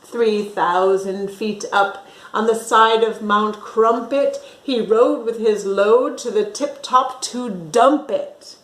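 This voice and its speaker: A woman storytime reader with a slightly high voice, taking her time. She reads to young children with dramatic emphasis and chatty asides, in a noticeably echoey room. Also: slow.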